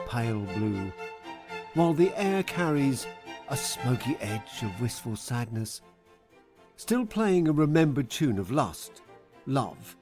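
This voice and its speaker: Slow, theatrical man reading with lively swoops. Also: medium pitch. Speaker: author reading his own comedy book. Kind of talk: scripted audiobook narration.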